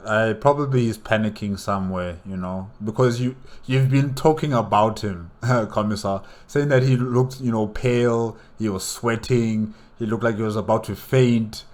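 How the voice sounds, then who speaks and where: A man's voice: on the low side, normal speed, hardly any pauses, a little mellow. A man giving casual reaction commentary, thinking aloud with many questions and repeated words. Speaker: reaction video host.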